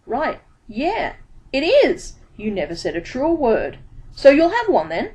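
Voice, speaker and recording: A woman voicing a shifty, eager male pie seller with high, quick sales patter. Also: wildly swooping pitch, a little mellow, exaggerated voice.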